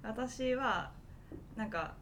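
A woman podcast co-host chatting casually, agreeable and quick to apologise and blame herself. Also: a little high, a bit bright, slight background noise. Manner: apologetic, self-deprecating, agreeable. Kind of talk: casual chat.

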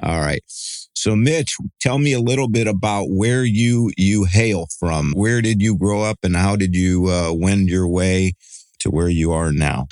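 A man's voice: low voice, unhurried, a little mellow, no echo. A man hosting an interview podcast, chatty and a little showy in his introductions. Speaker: podcast host.

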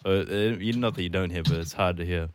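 A man's voice: deep voice, fast, background noise. A man raised in Australia, with a light Australian accent, chatting casually about music production on a group call, talking in bursts full of fillers. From born in America, raised in Australia. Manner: earnest, explains in bursts with many fillers. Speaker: podcast guest, music producer.

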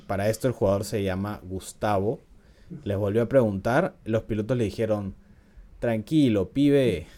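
Low, mellow-voiced man telling a story. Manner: chatty, laughs often, frequent "¿no?" tags. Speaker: podcast host. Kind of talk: casual storytelling podcast.

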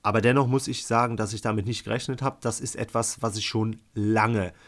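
A man's voice: fairly low pitch, steady tone, brisk. A man giving a casual, colloquial film review as a podcast co-host.

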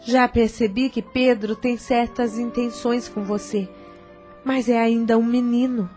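A woman's voice: fairly high pitch, steady tone, unhurried, faint music behind, natural voice. A woman playing a tender motherly stepmother. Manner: gentle, motherly. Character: kind older stepmother, tender and wise. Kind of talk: radio drama acting.

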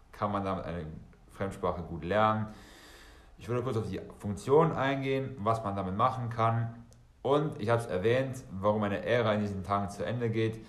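A man's voice: fairly low pitch, fairly bright tone, reverberant. A bilingual German and Serbian man hosting a solo podcast, talking conversationally and directly to listeners, often rephrasing as he goes. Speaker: podcast host.

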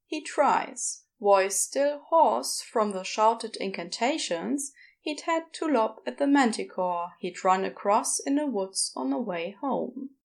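A woman's voice: on the high side, expressive, slow pace, creaky, few pauses. A woman narrating a scripted story in an audiobook style.

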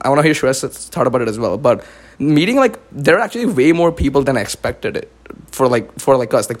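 A young man hosting a podcast in casual chat, raised in India after a US birth, talking with lots of "like" fillers. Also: mid-range voice. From born in the US, raised in India. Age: young adult. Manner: fast, filler-heavy talk with many "like"s. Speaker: podcast host.

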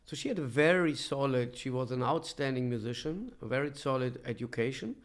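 A middle-aged man from Germany telling his story as an interview guest, with halting, thoughtful pauses. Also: medium pitch, lively intonation. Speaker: podcast guest, professor and coach. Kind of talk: reflective conversational interview storytelling.

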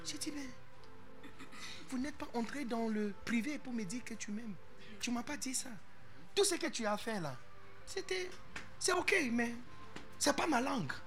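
A man preaching with a high, bright voice that leaps up and down across a wide range. He is animated and playful, tossing in English interjections while addressing a congregation. Faint music sits behind him. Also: a little slow, pauses often. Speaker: pastor. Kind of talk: sermon.

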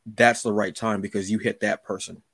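A man's voice: lowish voice, little pitch movement, normal speed, a bit bright, breathy edge, dry recording, clean studio sound. A man hosting a podcast in a casual chat, speaking emphatically and acting out little quoted remarks. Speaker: podcast host.

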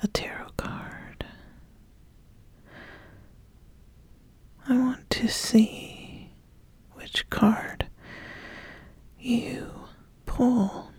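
A woman voicing an ambitious, appraising witch in a breathy whisper for a fantasy ASMR roleplay, deliberate and given to repeating phrases. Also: fairly high pitch, flat delivery, darkish tone, exaggerated voice. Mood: deliberate, testing. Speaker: ASMR host.

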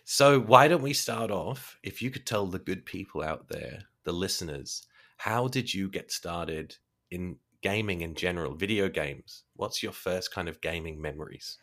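A man with a low-pitched voice speaks as a podcast host. He chats casually, in the informal style of an online interview. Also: lively intonation, normal speed, fairly bright tone.

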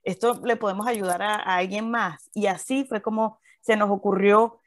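A woman's voice: medium pitch, fairly even intonation, normal speed, dry recording. A Venezuelan woman in her thirties co-hosting a podcast, chatting casually with many fillers and restarts. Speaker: podcast co-host.

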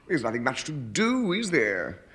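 An actor playing a chatty, imposing next-door neighbour in a 1950s radio comedy, with a nasal voice and a knack for loud animal impressions. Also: male voice, mid-range voice, huge pitch swings, measured pace, full character voice. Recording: noisy old radio archive recording.

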